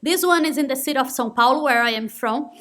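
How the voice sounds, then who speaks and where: A woman's voice: high voice, quick pace, fairly bright tone. A young woman from Brazil with a Brazilian Portuguese accent, giving an earnest conference talk in non-native English. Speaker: conference speaker. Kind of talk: conference presentation.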